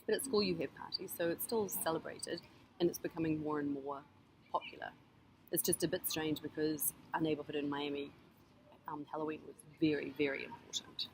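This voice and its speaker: A middle-aged woman from New Zealand, answering her child's interview questions in a thoughtful, measured way with frequent pauses and ums. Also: low-pitched, fairly even intonation, slight background noise, poor audio. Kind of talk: casual family interview.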